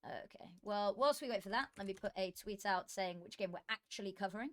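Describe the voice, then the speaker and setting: A woman's voice: medium pitch, a little fast. Woman streamer, voice worn out. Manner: muttering to herself between chatty asides. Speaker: esports streamer and commentator. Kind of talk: casual live-stream chat while setting up. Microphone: desk microphone.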